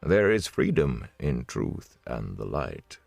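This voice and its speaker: A man voicing a grandfatherly old lord captain in an audiobook, calm, formal and gently admonishing. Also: rumbling low, slow pace, creaky, dry recording, faint music behind, voice pushed down, exaggerated voice. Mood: sad, admonishing. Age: older. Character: grandfatherly gray-haired male lord captain, calm and sadly stern.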